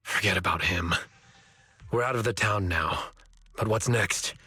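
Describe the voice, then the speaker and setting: Husky, guarded fighter. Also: male voice, fairly low pitch, unhurried, bright, forceful tone, full character voice. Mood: curt, guarded. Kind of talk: video game voice acting.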